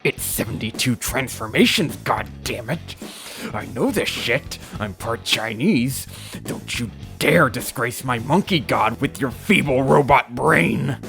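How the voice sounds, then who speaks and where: A man interjecting in an indignant, emphatic, mock-angry way, sounding quiet and a little distant. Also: mid-range voice, expressive, measured pace, vocal fry, over music.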